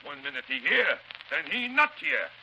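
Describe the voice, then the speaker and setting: An actor playing a gloating, sadistic pirate boss in a 1930s radio drama, bullying in broken English with a Spanish accent. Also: male voice, fairly high pitch, big pitch swings, normal speed, bright, forceful tone, muffled recording, exaggerated voice. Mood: angry, threatening. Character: gloating sadistic pirate captain, fat, menacing bandit boss. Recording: hissy 1930s radio recording.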